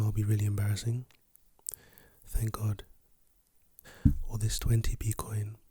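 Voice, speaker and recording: Hoarse soft-spoken man, close and husky. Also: lowish voice, no room sound. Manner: playful, teasing, repeats words softly. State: lost voice, hoarse. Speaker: ASMR creator. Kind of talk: soft-spoken and whispered ASMR.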